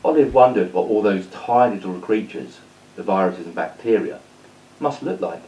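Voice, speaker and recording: A man's voice: low-pitched, moderate pace, warm tone, recorded from a distance, dull audio. A man reading a children's story aloud as storyteller. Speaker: storyteller, school staff reader.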